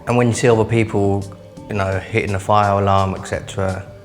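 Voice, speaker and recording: A man's voice: on the low side, level tone, a little fast, faint music behind. A young man giving unscripted interview testimony in hesitant, broken phrases. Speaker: interviewee, detained patient.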